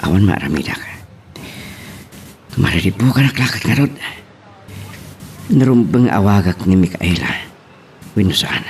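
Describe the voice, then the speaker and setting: Actor as deep-voiced, lovelorn, sorrowful man. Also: big pitch swings, a little slow, long pauses. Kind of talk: Ilocano radio-style romance drama acting.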